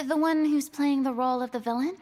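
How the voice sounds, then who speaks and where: An actress playing a thoughtful, gentle and composed young woman in a video game. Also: high-pitched, brisk, slight background noise, natural voice. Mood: concerned. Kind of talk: video game dialogue acting.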